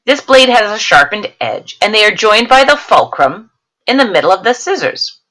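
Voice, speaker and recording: A woman's voice: mid-range voice, sing-song, moderate pace, a bit bright. A woman teacher reading a science lesson aloud, explaining it to students and checking in with questions.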